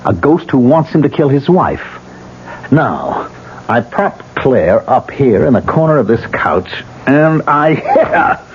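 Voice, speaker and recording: A man's voice: mid-range voice, very animated, slow pace. An actor playing a tormented, sardonic man who talks to a ghost, in theatrical 1970s radio drama style. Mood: darkly playful. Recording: muffled, hissy 1970s radio recording.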